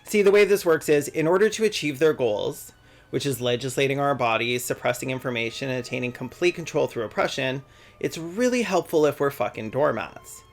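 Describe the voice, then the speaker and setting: Young male essayist, mid-pitched, dry sardonic read over faint background music. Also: animated, a little fast. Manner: sardonic, profane asides. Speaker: video essayist. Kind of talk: scripted commentary with sarcastic jokes.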